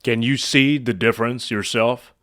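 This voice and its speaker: A man's voice: mid-range voice, fairly even intonation, moderate pace, fairly bright tone, clear, resonant tone. A man working as a speaking coach, commenting on a client's video and quizzing the viewer with rhetorical questions.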